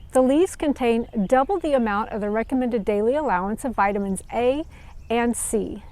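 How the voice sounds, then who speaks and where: High-voiced woman reading to children. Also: a little mellow. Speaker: storyteller, herbal educator. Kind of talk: scripted read-aloud narration for children.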